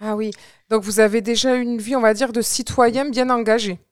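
A woman's voice: on the high side, steady tone, moderate pace, clean tone, no room sound, studio quality. A woman hosting a radio interview show, friendly, with frequent fillers. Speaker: radio host.

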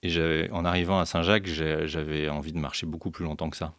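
A man with a very low voice answers questions on a local radio show. He hesitates a lot, restarting sentences and stringing fillers together. The studio sound is dry and close. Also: level tone, quick pace, a bit bright, no room sound. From central France, Orléans area. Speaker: guest, beekeeper and deacon. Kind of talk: radio interview.

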